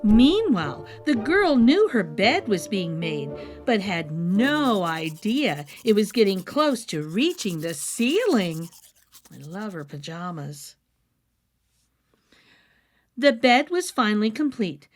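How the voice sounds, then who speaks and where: A woman's voice: mid-range voice, slow, faint music behind. A woman narrating a children's story expressively, as a read-aloud host with a playful manner. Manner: animated, with playful asides.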